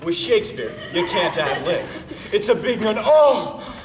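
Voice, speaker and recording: A man's voice: high-pitched, very animated, normal speed, recorded from a distance, crowd noise. A man voicing a fussy, flustered actor reluctant to play a female role. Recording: echoey room, noisy.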